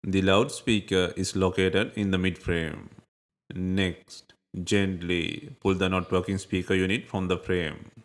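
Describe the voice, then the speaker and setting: Low-pitched man narrating repair instructions. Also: fairly even intonation, measured pace. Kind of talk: scripted step-by-step instructional narration.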